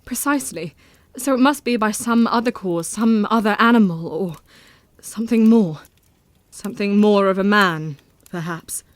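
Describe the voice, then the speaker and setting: A woman's voice: medium pitch, normal speed, no room sound, natural voice. A woman playing a frank, troubled but resolute Victorian wife in an audio drama, speaking formally and earnestly. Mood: uneasy.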